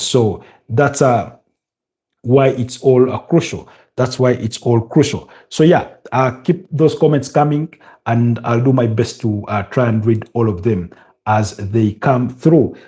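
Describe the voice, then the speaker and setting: Mellow-voiced Ugandan man hosting a livestream. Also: mid-range voice. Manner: chatty, unhurried, many filler sounds while reading comments. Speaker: livestream host. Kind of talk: casual live commentary reading chat comments.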